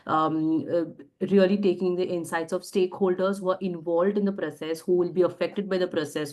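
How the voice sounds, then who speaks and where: A woman who is a PhD candidate, giving a hesitant introduction to an online session, with many fillers. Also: deep voice.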